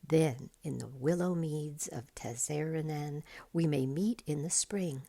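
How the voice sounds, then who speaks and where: A woman's voice: low-pitched, a little slow, creaky, mellow, no echo, heavily acted. A woman voicing a solemn, gracious elven lady in a storybook, speaking formally and with lofty measure. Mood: solemn, tender.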